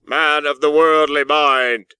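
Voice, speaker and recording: A mournful ghost in chains, acted by a man, declaims very slowly and solemnly. The words are drawn out with woe, as in a dramatic storybook reading, and the recording is dry. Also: on the high side, steady tone, pushed and bright, clear, resonant tone, no echo, voice pushed up. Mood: doomful, woeful.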